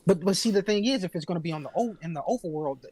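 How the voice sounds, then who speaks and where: A man's voice: sits high, lively intonation, quick pace, darkish tone. A man on a casual panel podcast, explaining video game lore earnestly and at length.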